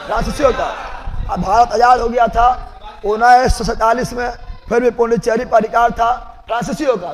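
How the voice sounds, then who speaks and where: High-pitched Bihari man teaching, very echoey. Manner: emphatic, repeats key facts loudly, checks with the class. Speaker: exam coaching teacher. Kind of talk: live general-knowledge classroom drilling with repetition and question-and-answer. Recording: echoey hall with long reverb.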